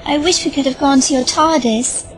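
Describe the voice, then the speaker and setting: A woman's voice: sits high, normal speed, soft background music, natural voice, rough recording. A woman voicing a frightened, timid little girl in an audio drama, speaking in a small, pleading voice.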